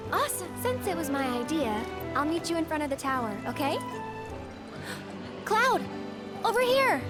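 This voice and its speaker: A woman's voice: extremely high pitch, big pitch swings, normal speed. An actress playing a cheerful, forthright young woman with a teasing, eager manner in a video game. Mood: playful, excited. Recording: loud music behind the voice.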